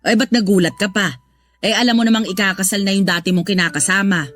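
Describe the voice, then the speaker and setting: A woman's voice: mid-range voice, steady tone, quick pace, a bit bright, soft background music, close to an everyday voice. An actress playing a frank, scolding older mother in a radio drama, with a Filipino accent. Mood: chatty, matter-of-fact. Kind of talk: Tagalog radio drama acting.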